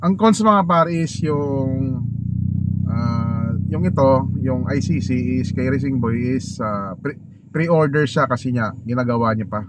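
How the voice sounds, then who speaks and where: A man with a Filipino accent chatting casually as a motovlogger unboxing gear, informal and halting as he searches for words. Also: mid-range voice, over music. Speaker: motovlogger host. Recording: clearly noisy, vehicle and ambient noise.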